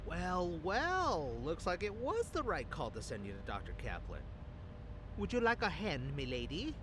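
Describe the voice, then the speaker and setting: A man's voice: on the high side, huge pitch swings, measured pace, noisy background. A man playing a cocky, flirtatious secret agent in an audio drama, with a theatrical, teasing delivery. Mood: charming, teasing.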